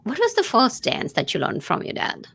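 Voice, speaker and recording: A woman's voice: on the high side, wildly swooping pitch, normal speed, creaky, a bit bright, husky. A woman hosting an interview, asking her guests encouraging questions. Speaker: host.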